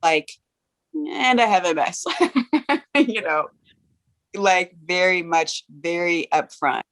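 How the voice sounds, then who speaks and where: A woman's voice: fairly low pitch, takes it slowly, a bit bright, no echo. A woman in a casual group conversation who rambles and fills her sentences with "like" and "you know".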